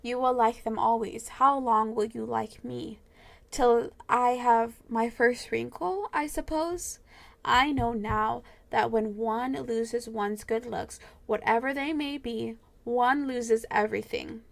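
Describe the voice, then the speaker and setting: A woman's voice: on the high side, steady tone, slow pace, vocal fry. A woman voicing a vain young man who despairs over losing his youth, pleading and crying out. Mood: bitter. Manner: emotional, pleading, rising to cries.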